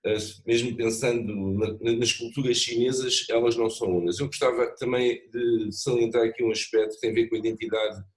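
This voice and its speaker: Measured reflective man at a panel. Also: medium pitch, level tone, poor audio. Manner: measured, reflective, many hesitations and restarts. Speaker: panel speaker. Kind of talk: thoughtful panel discussion. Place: lives in Macau.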